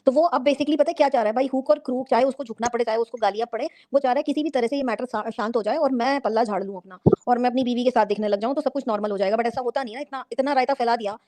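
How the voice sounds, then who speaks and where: A woman's voice: fairly high pitch, very fast, few pauses, warm tone. A woman giving casual reaction commentary on a panel, explaining things colloquially with rhetorical questions.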